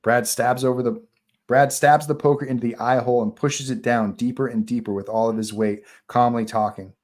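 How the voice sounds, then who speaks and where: A man's voice: medium pitch, steady tone, brisk, darkish tone. A man narrating the stage directions of a horror script at a live online table read. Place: online group call.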